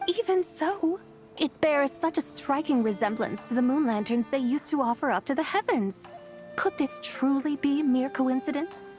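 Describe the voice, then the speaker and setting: High-voiced, sly ancient horse spirit. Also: female voice, lively intonation, moderate pace, a little mellow, faint music behind. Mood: wry musing. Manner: archaic formal phrasing, teasing and theatrical. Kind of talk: scripted video game dialogue.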